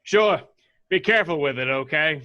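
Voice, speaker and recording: A man's voice: fairly high pitch, lively intonation, moderate pace, bright, forceful tone, highs cut off. A man playing a wry, genial old police commissioner in a script table read. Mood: good-humoured.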